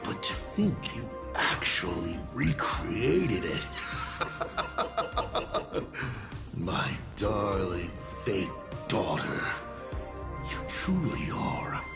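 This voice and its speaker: A man voicing a mocking, theatrical villain in a video game, grandiose and savoring his words in a creaky voice. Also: on the low side, very animated, extremely slow, long pauses, music in the background, exaggerated voice. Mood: amused, mocking. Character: theatrical male villain, mocking artist of destruction, fake father.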